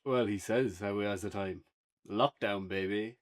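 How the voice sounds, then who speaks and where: A man's voice: lowish voice, normal speed. An Irish man co-hosting a casual, unscripted review podcast, talking in an informal, conversational way.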